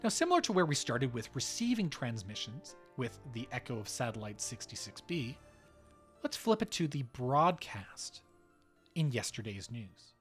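Lively man hosting a podcast. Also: medium pitch, moderate pace, faint music behind. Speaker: podcast host. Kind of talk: scripted narration.

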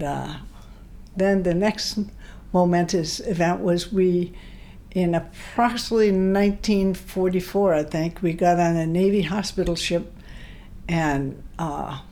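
Low, gravelly American woman in her eighties. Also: a little mellow. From Hawaii, United States. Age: 80s. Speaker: interview guest telling life story. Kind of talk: unscripted reminiscence. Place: hotel room. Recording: clear background noise, room ambience.

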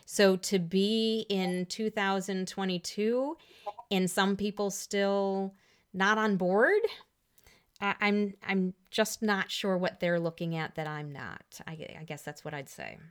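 A woman of middle pitch hosting a podcast. She speaks in an earnest, reflective way as she leads the conversation. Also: fairly even intonation, slow pace, clear, resonant tone. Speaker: podcast host. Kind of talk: casual interview talk.

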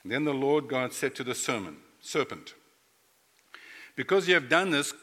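A man's voice: medium pitch, a bit bright, long reverb tail. A middle-aged man from Africa, preaching a playful sermon that speaks directly to his congregation in a church sanctuary. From South Africa. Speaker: pastor.

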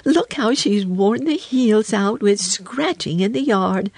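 Here is a storybook hedgehog washerwoman, played by a woman reader, small, stout and eager to please. She chatters in a deferential, fussy way, with very lively intonation and a slightly husky edge. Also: medium pitch, slow, dry recording, voice pushed up. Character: stout little hedgehog washerwoman, elderly, polite and anxious. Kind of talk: children's storybook character voice.

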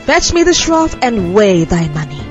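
A woman's voice: medium pitch, sing-song, moderate pace, lo-fi sound, over music, lightly acted. A woman playing a sweet but commanding young noble lady in an audio drama, with formal storybook diction. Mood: sweet, inquiring. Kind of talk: audio drama acting of an archaic tale.

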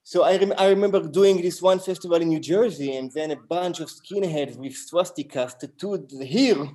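A man's voice: a little high, moderate pace, a little mellow. Israeli-accented man telling stories casually. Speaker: band musician, interview guest. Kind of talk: casual interview chat, storytelling.

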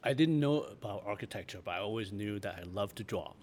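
A middle-aged man from Taiwan reminiscing casually in an interview, in a halting, self-correcting way. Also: on the low side, expressive, darkish tone. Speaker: interview guest, architect. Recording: noticeable background noise.

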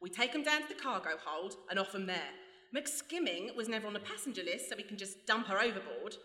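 Bright, gleeful villainess. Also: female voice, medium pitch, animated, a little fast. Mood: gleeful scheming. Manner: breezy, mocking. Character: ruthless, gleeful female criminal mastermind, scheming and cocky. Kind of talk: radio play acting. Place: stage before a live audience. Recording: echoey live hall.

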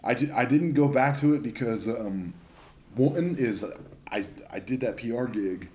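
A young man chatting casually as a podcast guest, telling stories in a relaxed, rambling way. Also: mid-range voice, a little slow. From Northern California. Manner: chatty storyteller. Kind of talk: casual chat.

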